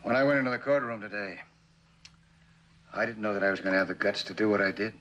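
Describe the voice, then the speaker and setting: An American man in middle age playing an earnest, conscience-stricken company man in a 1960s TV drama, speaking haltingly and soberly. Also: fairly low pitch, a bit bright, highs cut off, a little hiss or hum, close to an everyday voice. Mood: weary, resolute. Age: middle-aged. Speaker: actor playing a witness. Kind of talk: 1960s television drama acting.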